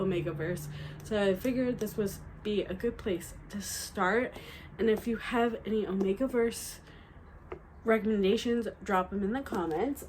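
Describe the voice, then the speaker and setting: A young woman with a slightly nasal voice from a cold, chatting excitedly through a book haul with fillers and restarts. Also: mid-range voice, slight background noise, poor audio. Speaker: book vlogger. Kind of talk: casual book haul chat.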